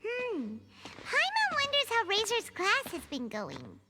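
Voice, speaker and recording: A woman voicing a small, excitable, nosy fairy companion in a video game, with a shrill childlike voice and exclamatory delivery. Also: slow, full character voice. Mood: curious. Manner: speaks of self in third person, exclamatory. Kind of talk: video game character dialogue.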